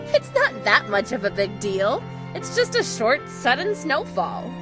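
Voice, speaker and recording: A woman playing a cheerful, breezy, perceptive schoolgirl in an audio drama, with an energetic, folksy manner. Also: on the high side, slow, exaggerated voice. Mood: curious, amused. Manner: energetic, folksy, laughs easily. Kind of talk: audio drama character acting. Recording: music behind the voice.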